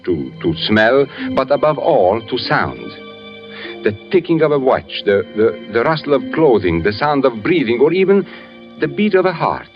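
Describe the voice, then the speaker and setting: Guy acting as a gentle haunted blind composer, old radio drama, really animated pitch, muffled recording. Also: natural voice. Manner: deliberate, halting with dramatic pauses and repeats. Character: blind composer, gentle, brooding, sensitive, haunted witness to murder.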